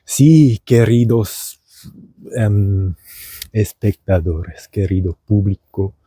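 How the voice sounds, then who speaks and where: Man, Argentine accent learned abroad, meandering theatrical monologue, very dark tone, dry sound. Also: on the low side, extremely slow, husky, dry recording. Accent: Argentine Spanish learned by a German speaker. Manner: meandering, theatrical, with many fillers and restarts. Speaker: language teacher and podcaster. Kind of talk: rambling comedic monologue. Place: outdoors at dusk.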